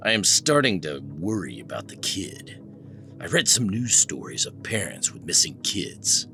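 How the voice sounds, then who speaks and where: A man's voice: sits low, gravelly, sharp tone, exaggerated voice. A man playing a brooding, irritable, worn-down private detective in a noir audio drama, terse and sardonic, often muttering.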